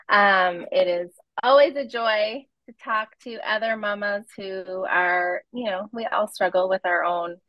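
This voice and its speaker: A woman's voice: medium pitch, no echo, muffled recording. A Canadian woman in her forties, a home organizing coach, talking in a friendly, conversational way as an interview guest. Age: 40s.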